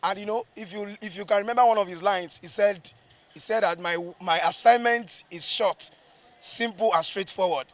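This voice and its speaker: Male stage actor, Nigerian accent, high-pitched, reflective interview delivery with emphatic repetition. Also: moderate pace.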